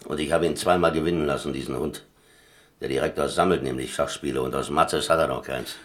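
Radio play: streetwise captive captain, low male voice, blunt, dry, defiant. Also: fairly even intonation, fairly quick, a bit bright. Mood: resigned. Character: defiant, streetwise German prisoner, a chess-playing captain. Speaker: actor in a radio drama. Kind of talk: German radio play acting.

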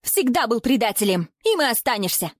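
A woman's voice: mid-range voice, expressive, brisk, a little mellow, dry recording, studio quality, natural voice. A woman narrator voicing an embittered, controlling middle-aged mother in indignant, accusing outbursts for an audiobook. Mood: scornful, commanding.

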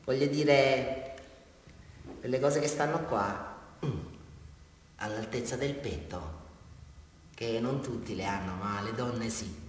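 An actor playing a disturbed, childlike male criminal in a radio drama, rambling and halting through his confession in a southern Italian accent, swinging between hushed and loud. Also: medium pitch, a little hiss or hum, natural voice. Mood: hushed, dreamy. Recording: distant, very echoey reverberant hall.

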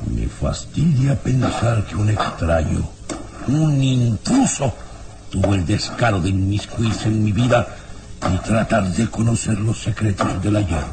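A haughty old villain of a baron in a radio drama. He is imperious and full of disdain, drawing out his words slowly and swinging widely in pitch. The old recording is clearly noisy. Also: animated. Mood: cold disdain. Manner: imperious, disdainful, drawn-out theatrical delivery.

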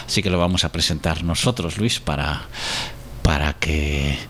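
A man with a Spain accent hosting a casual chat, halting and often repeating his phrases. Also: rumbling low, fairly bright tone, a little hiss or hum. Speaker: podcast host.

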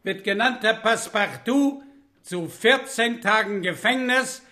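An actor in a German radio play playing a stern British colonial judge, formal, officious and deliberate. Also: male voice, high-pitched, bright, forceful tone.

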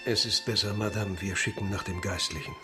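An actor playing a sober, resigned hospital doctor of old Paris, formal and courteous in a German radio crime drama. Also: male voice, lowish voice, wildly swooping pitch, fairly quick, pushed and bright, soft background music, full character voice. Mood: grave.

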